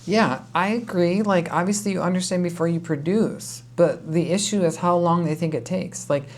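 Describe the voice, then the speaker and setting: A man's voice: high voice, moderate pace. An American man giving casual reaction commentary, talking in a rambling, conversational and often exasperated way. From United States. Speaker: YouTube host reacting to a video.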